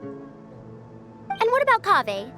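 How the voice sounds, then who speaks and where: A woman's voice: a little high, huge pitch swings, takes it slowly, loud music behind the voice, exaggerated voice. A woman playing a proud, self-assured scholar in a video game, boastful and a little old-fashioned. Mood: lofty, inquisitive. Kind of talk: video game character dialogue.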